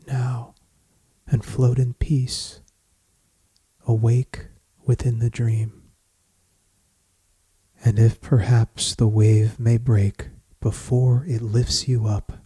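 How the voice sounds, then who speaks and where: Male meditation guide: very slow, even delivery, soothing and solemn, with long pauses. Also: medium pitch, husky, dry recording. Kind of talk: guided meditation, scripted poetic narration.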